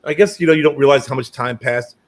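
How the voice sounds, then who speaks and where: A man hosting a casual chat and telling lively anecdotes with many restarts. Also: medium pitch, quick pace.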